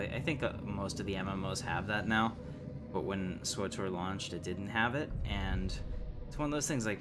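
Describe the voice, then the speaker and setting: A man with a fairly low voice chats through a game livestream. He rambles easily and conversationally, with clear noise and game ambience behind him. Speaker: game streamer, host. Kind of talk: casual livestream chat with game commentary.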